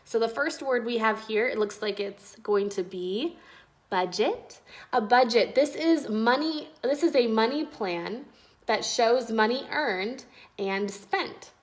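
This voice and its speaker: A woman reading aloud and explaining words as a teacher speaking to children, in a clear, explanatory way. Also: fairly high pitch, slow pace. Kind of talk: children's read-aloud and vocabulary lesson.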